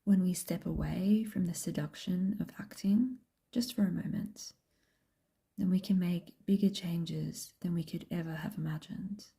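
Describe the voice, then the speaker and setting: A woman guiding a meditation in a soft, breathy whisper, speaking gently and unhurriedly with long pauses. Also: fairly low pitch. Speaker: meditation guide and host. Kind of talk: slow guided meditation, soft whispered speech.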